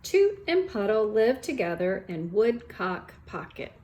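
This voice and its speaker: A woman's voice: medium pitch, sing-song, unhurried, a little mellow, roomy sound, slight background noise, poor audio. A woman speaking informally as an elementary school teacher, chatting and reading a storybook aloud to children.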